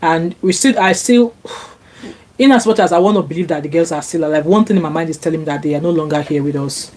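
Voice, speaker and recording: A woman's voice: deep voice, fast talker, a little mellow. Chatty Nigerian woman reading comments. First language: Yoruba. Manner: chatty, frequent fillers and restarts, rises when indignant. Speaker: vlogger reading viewer comments. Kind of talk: casual live-stream commentary. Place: home, sunny Glasgow. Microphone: laptop.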